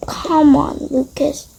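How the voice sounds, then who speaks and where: A woman's voice: sits high, little pitch movement, drawn-out words, gravelly, darkish tone, a little hiss or hum. A female voice improvising a roleplay with toy game characters, slangy and drawling, with sighs. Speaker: roleplay video creator voicing toy characters.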